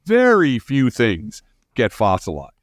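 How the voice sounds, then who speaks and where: A man's voice: mid-range voice, no echo, clean studio sound. A man speaking as a scientist guest in an interview, explaining ideas informally and animatedly, often restarting his phrases. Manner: animated, informal, halting restarts with frequent 'you know'.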